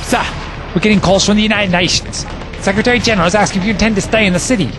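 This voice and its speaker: A man playing a hurried, deferential government aide relaying urgent calls in an audio drama. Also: sits high, steady tone, music in the background, close to an everyday voice.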